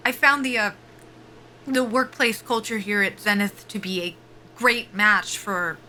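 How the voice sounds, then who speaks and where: An actress playing a guarded young soldier-pilot at a job interview in an audio drama, politely formal and clipped, with dry humor. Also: female voice, mid-range voice, steady tone, measured pace, sharp tone, slight background noise, poor audio. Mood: stiff, eager. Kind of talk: naturalistic audio drama acting.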